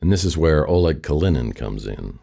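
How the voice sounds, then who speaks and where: A man playing a world-weary, wisecracking private detective in a noir radio drama, with a wry deadpan delivery. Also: rumbling low, normal speed, creaky, in a large hall, exaggerated voice. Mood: dry, businesslike. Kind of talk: noir radio drama, first-person narration and dialogue.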